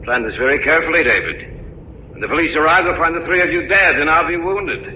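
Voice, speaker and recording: A man's voice: a little high, fast talker, pushed and bright. A man playing a gloating, contemptuous killer in a 1940s radio thriller, with a menacing sneer. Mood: cold gloating menace. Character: gloating murderous man holding a gun, scheming and contemptuous. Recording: echoey, muffled vintage radio recording with faint noise.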